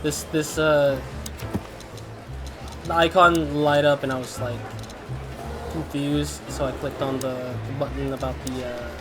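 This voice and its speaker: A man's voice: medium pitch, flat delivery, a little slow, long pauses. Stuffy-nosed man doing gaming commentary. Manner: chatty, rambling, laughs often. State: slightly stuffy from a cold. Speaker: gaming YouTuber. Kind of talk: let's play commentary. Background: game music.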